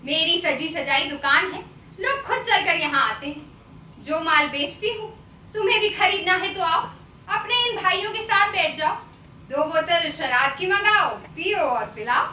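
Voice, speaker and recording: Sharp-tongued courtesan played by a woman, very high piercing voice, scolding and proud, roomy stage play. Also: animated, measured pace, full character voice. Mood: exasperated, defiant. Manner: scolding, declamatory. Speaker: stage actor. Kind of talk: Hindi stage play acting. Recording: roomy, distant stage recording with noise.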